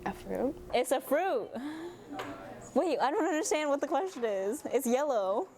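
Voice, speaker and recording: An eighteen-year-old woman, a high school senior, answering interview questions in a bubbly way. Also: sing-song, a little slow, darkish tone, slight background noise.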